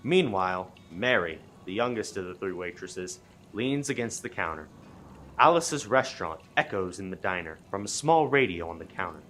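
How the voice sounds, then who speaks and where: A man's voice: sits low, animated, normal speed, a bit bright, a little hiss or hum. A man reading dramatic scripted narration for a comic radio play.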